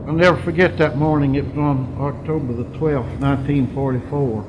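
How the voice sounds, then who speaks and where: A man's voice: medium pitch, fairly even intonation, normal speed, low-quality recording. A man over eighty, a war veteran recounting his personal memories. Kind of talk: personal recollection. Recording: music bed under the voice. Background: music.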